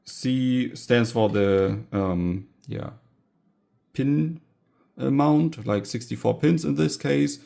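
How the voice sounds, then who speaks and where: A man casually narrating a hands-on gadget teardown as a video host, informal and halting, often pausing mid-sentence. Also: mid-range voice, gravelly, dark tone. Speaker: tech hobbyist video host.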